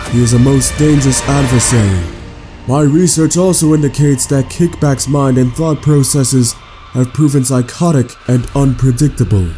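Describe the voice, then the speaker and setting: A cold, clinical robot scientist, acted out by a man, dictates log entries in a mid-pitched male voice over music. The delivery is formal and exact, with a condescending villain's air, in the style of a sci-fi audio drama. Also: natural voice. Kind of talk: scripted audio log monologue, fan-made sci-fi drama.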